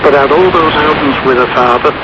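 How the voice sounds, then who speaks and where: A man giving casual music commentary as a radio guest, rambling and pausing mid-phrase. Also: a little high. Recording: muffled shortwave radio with clear static. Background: shortwave static and noise.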